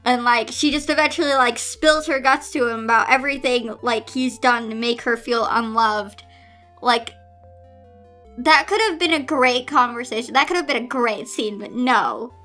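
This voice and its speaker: A woman's voice: fairly high pitch, moderate pace, pushed and bright. A woman hosting a story reading with casual commentary, informal and exasperated in manner. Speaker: host reading and reviewing fan fiction. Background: music.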